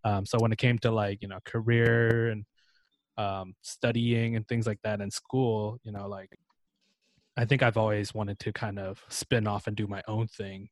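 A young American man hosting a casual podcast talk, relaxed, with lots of fillers and restarts. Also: lowish voice, moderate pace, dry recording. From United States.

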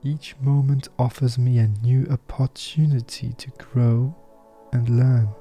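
A man with a very warm, relaxed, dark timbre guides a meditation in a mid-range voice. He is soft-spoken and soothing, delivering affirmations with unhurried pauses. Faint music plays behind him. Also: medium pitch.

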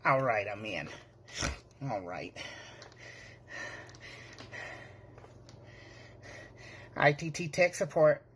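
A man's voice: mid-range voice, big pitch swings, measured pace, fairly bright tone. A man playing a nervous, bumbling young tech-support rookie, hesitant, apologetic and stammering, in a comedic monologue. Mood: flustered, sheepish. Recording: clearly noisy background, keyboard clicks.

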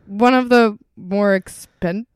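A woman's voice: mid-range voice, normal speed, smooth, dry recording, studio quality. A young woman co-hosting a podcast in casual, chatty, giggly talk. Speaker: podcast co-host. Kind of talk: casual chat.

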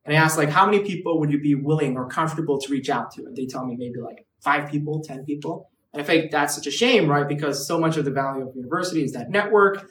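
A man's voice: fairly high pitch, quick pace, few pauses, fairly bright tone, distant mic, a little hiss or hum. A man in his twenties from Hong Kong, a guest chatting casually on a podcast with lots of "like" and "right". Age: 20s. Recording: roomy echo, away from the mic.

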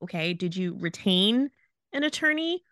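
A woman gives casual, opinionated commentary as a podcast host. Her voice is bright and forceful at a medium pitch, with an incredulous, emphatic delivery on a close, dry mic. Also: big pitch swings, normal speed, no echo. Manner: incredulous, emphatic, rhetorical questions.

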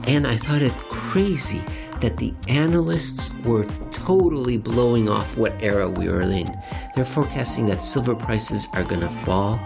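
Wry man narrating with a creaky edge. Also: lowish voice. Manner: wry, dramatic. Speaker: podcast host and reader. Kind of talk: theatrical comic narration with casual commentary.

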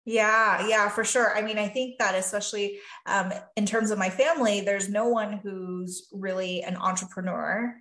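A woman's voice: mid-range voice, fairly even intonation, normal speed, hardly any pauses, a bit bright, reverberant. A woman from Taiwan, a physician and life coach, talking earnestly and thoughtfully as a podcast guest, often saying "you know" and "really". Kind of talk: reflective podcast conversation.

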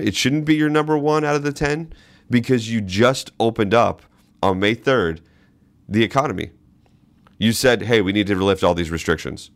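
Deep-voiced man hosting a political podcast. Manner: emphatic, repeats phrases for stress. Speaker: podcast host. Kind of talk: political commentary monologue.

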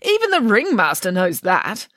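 A woman's voice: mid-range voice, huge pitch swings, moderate pace, fairly bright tone, no room sound, studio quality, voice pushed up. A woman voicing a bold, mischievous nanny who talks in an indignant, proper way. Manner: indignant, proper, grandly self-assured.

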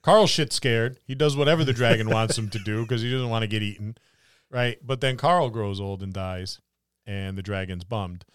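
A man's voice: on the low side, steady tone, normal speed, clean tone, no echo. A man co-hosting a gaming podcast, chatting informally and jokingly and slipping into imagined dialogue as he goes. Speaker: podcast co-host. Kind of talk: casual chat about tabletop gaming.

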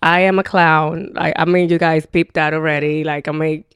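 A woman's voice: low voice, steady tone, moderate pace, smooth, dry recording, studio quality. A woman with a Dominican accent and New York touches, a Spanish speaker, chatting bluntly and jokingly on a podcast. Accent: Dominican Spanish with New York English. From Dominican Republic. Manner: blunt, joking, swears freely. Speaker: podcast co-host. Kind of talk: casual chat.

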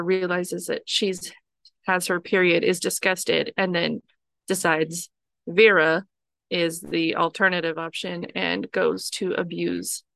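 A young adult woman co-hosting a podcast, briskly summarizing a film plot in casual chat. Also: on the low side, gravelly, a bit bright, no room sound. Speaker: podcast co-host.

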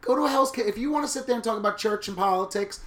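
A man in his thirties co-hosting a candid casual chat, blunt and animated. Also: high voice, very fast. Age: 30s. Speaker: podcast co-host. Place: New York.

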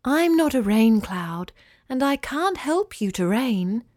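A woman voicing a sleepy, languid female cloud who talks in soft, drowsy sighs. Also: on the high side, big pitch swings, slow pace, no room sound, clean studio sound.

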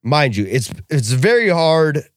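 A young man co-hosting a podcast, talking casually with animated, profane rants. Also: a little high, a little slow, no echo, studio quality. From Russian family background. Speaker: podcast co-host.

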